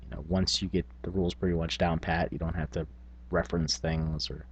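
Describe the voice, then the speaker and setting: Male gaming vlogger, deep and husky, informal, conversational, thinking out loud. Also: flat delivery, a little fast, highs cut off. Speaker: tabletop gaming video blogger. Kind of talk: casual talk to camera.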